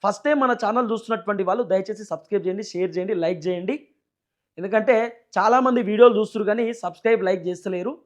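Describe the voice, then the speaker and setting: High-pitched, fast-talking Telangana man. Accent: Telangana Telugu. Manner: emphatic, repetitive, full of rhetorical questions. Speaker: news channel host and political commentator. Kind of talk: political news commentary to viewers.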